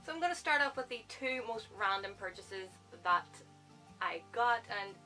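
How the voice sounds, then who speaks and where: A young woman, chatting to camera as a book vlogger, rambling and excitable and laughing at herself. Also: fairly high pitch, normal speed, faint music behind, rough recording. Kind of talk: casual chat to camera.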